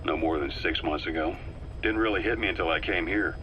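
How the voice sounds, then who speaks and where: A man's voice: deep voice, fairly quick, highs cut off, slight background noise, lightly acted. A man voicing a shrewd, sardonic intelligence man. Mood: analytical. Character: shrewd male intelligence officer, calm and knowing. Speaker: advisor on a recorded tape. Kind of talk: video game voice acting.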